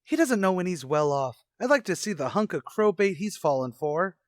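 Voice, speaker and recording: An actor playing an eager, excitable teenage schoolboy in a radio comedy, with a breezy, brash, slangy manner. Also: male voice, sits high, moderate pace, no room sound, clean studio sound. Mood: scheming, brash. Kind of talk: old-time radio comedy acting.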